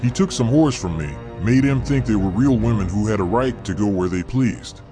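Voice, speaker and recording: A man's voice: lowish voice, animated, normal speed, lightly acted. A male narrator voicing a sadistic, whiskey-soaked Old West gang boss with a sneering, menacing drawl, over background music. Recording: music playing behind.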